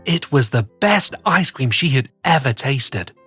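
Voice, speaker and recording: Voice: medium pitch, expressive, unhurried, a bit bright, slightly rough, faint music behind. A children's story host presenting and reading a storybook expressively, in a playful way that speaks straight to young listeners.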